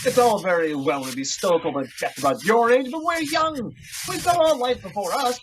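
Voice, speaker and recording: A man's voice: high voice, lively intonation, moderate pace, a little hiss or hum. A man acting an impatient, hot-headed young sweetheart in a theatrical, melodramatic radio drama style. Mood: indignant. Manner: melodramatic, indignant.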